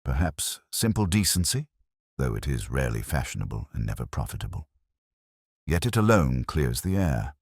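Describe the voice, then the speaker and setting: A very low-pitched, weary detective, as a male narrator plays him, speaks with measured eloquence. The delivery is philosophical and gently wry, with lively swings of pitch in a dry, close storybook recording. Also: husky, no echo, full character voice. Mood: wry, grave. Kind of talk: audiobook character dialogue.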